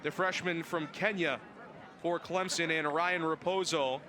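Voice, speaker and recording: A man's voice: on the high side, fairly even intonation, measured pace, a crowd in the background. A young man calling live soccer play-by-play as the announcer. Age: young adult. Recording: noisy outdoor stadium ambience behind. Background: outdoor match ambience.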